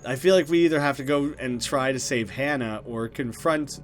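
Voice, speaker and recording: A man's voice: medium pitch, moderate pace, faint music behind. A man doing a let's play, reading a visual novel aloud and dropping wry, joking asides. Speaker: gaming YouTuber.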